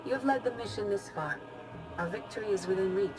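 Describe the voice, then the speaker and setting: An actress playing a dignified, formal Jedi leader in a video game cutscene. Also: female voice, medium pitch, quick pace, over music, close to an everyday voice, poor audio. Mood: resolute.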